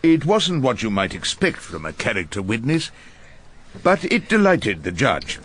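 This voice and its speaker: An actor playing a wry, irascible veteran English barrister, narrating and questioning witnesses in a dry, theatrical radio drama manner. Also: male voice, mid-range voice, huge pitch swings, measured pace, fairly bright tone, slightly rough, exaggerated voice. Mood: wry narration.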